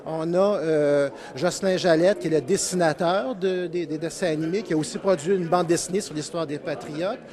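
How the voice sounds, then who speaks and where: A man's voice: fairly high pitch, moderate pace, hardly any pauses, a crowd in the background. A man with a Quebec French accent speaks informally in an interview as a project spokesman, often searching for words.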